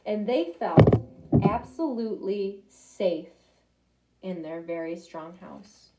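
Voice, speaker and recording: A young woman reading a picture book aloud to children, expressive, with little asides to her listeners. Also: mid-range voice, slow, poor audio. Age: young adult. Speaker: library storytime reader.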